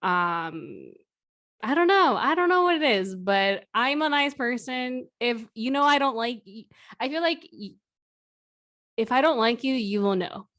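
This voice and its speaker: A young woman with a low, quiet voice talks in a relaxed, reserved way. She co-hosts a casual podcast conversation and never gets loud or animated. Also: unhurried. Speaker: podcast co-host. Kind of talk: casual chat.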